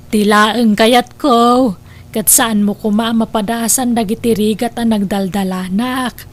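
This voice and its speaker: A woman's voice: fairly high pitch, a bit bright. Woman acting a tender old Ilocano grandmother. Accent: Ilocano Filipino. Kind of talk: Ilocano family audio drama acting.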